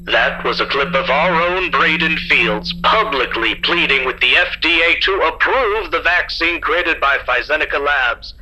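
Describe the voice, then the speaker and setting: A man's voice: on the high side, normal speed, hardly any pauses, sharp tone, low-quality recording. A man playing a formal news anchor in an audio drama. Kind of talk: scripted news reading.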